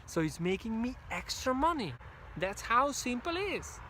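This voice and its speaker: A man's voice: very high-pitched, sing-song, moderate pace, pushed and bright, slight background noise. A man from Hungary with a Hungarian accent, giving a motivational pep talk to camera. He exhorts the listener in a repetitive, emphatic, preacher-like way. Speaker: motivational speaker.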